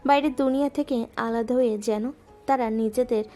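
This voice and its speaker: A woman narrating a romantic audio story in a gentle, measured way, with soft music underneath. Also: a little high, steady tone.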